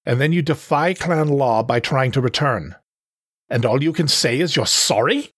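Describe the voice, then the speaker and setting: A man's voice: medium pitch, expressive, moderate pace, slightly rough, no room sound, clean studio sound, pitched above the performer's own voice. Man voicing a mocking, menacing male panther gang leader. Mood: loud threat. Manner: mocking, theatrical, falsely gentle.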